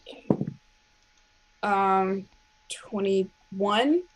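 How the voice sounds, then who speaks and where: A woman's voice: mid-range voice, extremely slow, rough recording. A woman playing a tabletop role-playing game, chatting casually and playfully about her character. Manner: playful, describes her character in third person. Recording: faint background noise.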